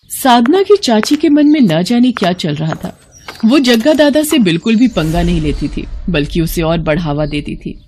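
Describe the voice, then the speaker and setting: A woman storyteller narrates in a medium-pitched voice. Her delivery is scripted narration for a moral bedtime tale. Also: sing-song, moderate pace, darkish tone, slight background noise.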